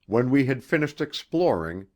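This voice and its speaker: A man narrating a literary horror story as an audiobook, in a measured and expressive manner. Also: medium pitch.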